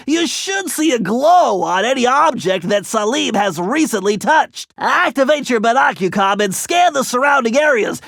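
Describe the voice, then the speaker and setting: A man's voice: very high voice, creaky, fairly bright tone, no room sound, heavily acted. An actor playing a brainy, anxious, nerdy male turtle tech whiz in a video game, with a nasal voice and a precise, pedantic, technical way of talking.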